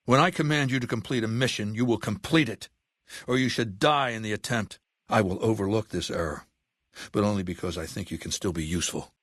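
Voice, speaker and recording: Casting: ruthless ageing warlord for a video game, lowish pitch, cold commanding formal delivery, dry close sound. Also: male voice, fairly quick, fairly bright tone, dry recording. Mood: stern, menacing. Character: imperious, ruthless ageing male warlord commanding a slaver legion. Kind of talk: video game character acting.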